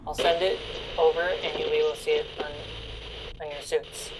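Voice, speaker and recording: A woman's voice: sits low, little pitch movement, a little fast, very noisy, natural voice. A woman playing a casual, weary spaceship commander in a tabletop game, matter-of-fact and informal. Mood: casual, uncertain. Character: casual, weary female crew commander on the ship radio. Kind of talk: tabletop roleplay.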